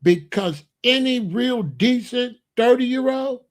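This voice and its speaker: Emphatic older man, high voice. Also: lively intonation, takes it slowly. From Chicago, USA. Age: 60s. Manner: emphatic, repetitive, blunt street talk. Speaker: commentator. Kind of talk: opinionated monologue.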